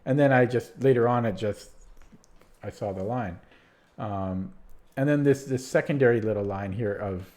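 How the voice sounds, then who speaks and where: A man's voice: medium pitch, normal speed, darkish tone. Tired man streaming chess puzzles. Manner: tired, rambling, many restarts and fillers. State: losing his voice. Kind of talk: casual live-stream chat.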